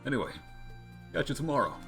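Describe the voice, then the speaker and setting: A man voicing a gruff, modest, loyal mercenary from a video game, plain-spoken, folksy and reassuring. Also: mid-range voice, very animated, quick pace, fairly bright tone, faint music behind, voice pushed up. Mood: reassuring, earnest. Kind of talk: acting out video game dialogue.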